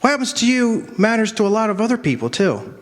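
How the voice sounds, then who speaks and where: A man playing an earnest, quick-witted lawyer in a radio drama, with a persuasive manner. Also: high-pitched, very animated. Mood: earnest, urgent. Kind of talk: stage radio drama acting. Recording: echoey hall, long reverb.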